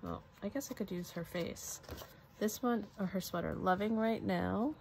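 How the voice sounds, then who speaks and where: A woman's voice: mid-range voice, moderate pace, vocal fry, a little mellow, slight background noise. A woman giving casual unboxing commentary at a craft table, chatty and musing aloud to herself. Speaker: crafter showing a product haul.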